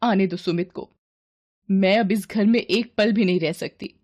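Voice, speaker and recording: A woman's voice: medium pitch, measured pace, a little mellow, close to an everyday voice. A woman voicing a spiteful, complaining young wife in a melodramatic cartoon story, indignant and insistent.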